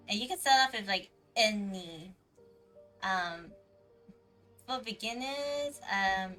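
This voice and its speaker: A woman's voice: mid-range voice, bright, forceful tone, low-quality recording. A woman livestreaming a game, chatting casually with viewers in a hesitant, trailing way. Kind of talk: casual livestream chat during gameplay. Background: faint game music.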